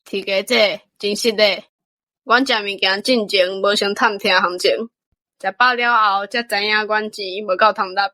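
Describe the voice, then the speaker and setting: A woman's voice: mid-range voice, fairly even intonation, normal speed, sharp tone, no echo, close to an everyday voice. A woman voicing a polite, earnest young country lad with a Taiwanese accent in a radio drama. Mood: apologetic.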